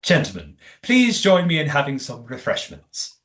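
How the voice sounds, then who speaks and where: A man's voice: fairly high pitch, huge pitch swings, moderate pace, a bit bright. A man playing a courteous master of a secretive old manor in an audio play, with formal, precise wording.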